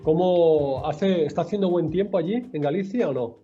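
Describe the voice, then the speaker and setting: Friendly man from Spain as interview host, warm dark tone, slightly high voice, faint guitar bed. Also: moderate pace, lo-fi sound. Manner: encouraging, frequent fillers and backchannels. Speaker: Spanish teacher, interview host. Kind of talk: casual interview.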